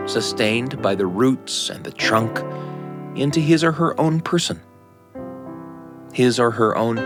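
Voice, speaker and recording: A middle-aged man hosting and telling stories from a script, with measured, reflective phrasing. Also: lowish voice. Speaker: host and storyteller. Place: dry studio. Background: faint music.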